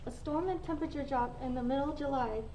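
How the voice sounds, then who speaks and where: Woman as a high-voiced plucky young superheroine. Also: quick pace, dark tone. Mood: puzzled. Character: plucky young superheroine disguised as ordinary car wash worker. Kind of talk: superhero parody radio play acting. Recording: distant mic, faint noise.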